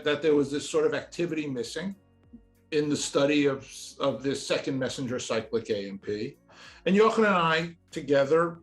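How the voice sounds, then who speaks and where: Earnest man with a slightly high voice. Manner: earnest, searching, with frequent restarts. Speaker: professor. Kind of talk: interview answers. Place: indoor office with shelves and pictures.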